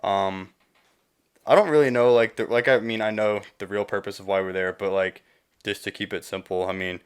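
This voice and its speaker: A man's voice: fairly low pitch, fairly even intonation, normal speed, clean tone. A young American man chatting casually as a podcast guest, rambling through stories with joking, profane slang. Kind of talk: casual chat, rambling storytelling.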